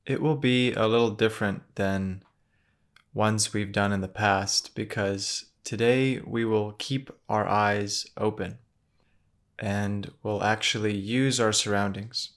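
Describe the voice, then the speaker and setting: A man leading a guided meditation in a gentle, measured manner, with long pauses between phrases. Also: fairly low pitch, fairly even intonation.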